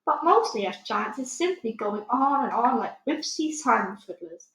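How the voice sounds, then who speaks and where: A woman voicing a very old, good-hearted male giant from a children's storybook, excitable and easily wounded, talking in muddled grammar and made-up words. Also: on the high side, normal speed. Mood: matter-of-fact. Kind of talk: children's storybook read aloud. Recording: echoey room.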